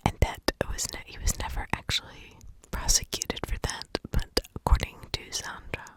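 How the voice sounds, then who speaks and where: A woman telling a true crime story in a hushed ASMR whisper, pausing deliberately between short phrases.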